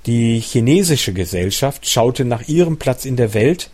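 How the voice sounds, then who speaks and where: A man reading a translated nonfiction book aloud as its narrator, at a measured, even pace with the odd self-correction. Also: medium pitch.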